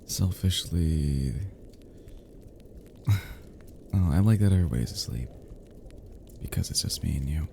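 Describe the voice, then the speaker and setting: A man playing a cozy, teasing young male friend who is sweetly smitten, in an intimate ASMR roleplay, murmuring softly and hesitantly with chuckles. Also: deep voice, creaky, slight background noise, exaggerated voice. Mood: shy, affectionate.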